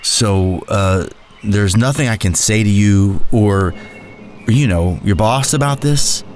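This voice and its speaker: A man's voice: lowish voice, takes it slowly, darkish tone. An actor playing a nervous, flustered male exhibit attendant in an audio drama, easily rattled and prone to stammering and mumbling. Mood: pleading.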